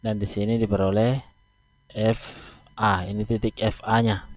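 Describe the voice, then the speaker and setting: A man teaches a math lesson, pausing often as he works through a graph. His voice sits fairly low and moves at a very unhurried pace. The recording is dry and a little dull, like a cheap lo-fi mic. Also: little pitch movement, a little mellow, dry recording. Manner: slow, deliberate, pauses often. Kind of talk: explaining at a board.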